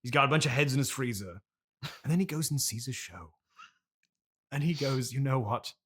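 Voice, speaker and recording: A man's voice: mid-range voice, lively intonation, a bit bright, breathy edge. A man playing a pompous, earnest stage actor with a Received Pronunciation British accent, speaking theatrically and grandly as the guest in an improvised comedy interview. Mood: earnest, explaining. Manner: theatrical, grandiose, drawn-out declamation. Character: pompous classically trained stage actor, earnest and self-important.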